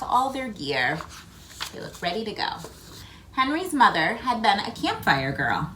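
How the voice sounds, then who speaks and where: A woman reading a picture book aloud to children, with expressive emphasis and chatty asides addressed to the listeners. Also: medium pitch, slight background noise. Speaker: read-aloud host for children.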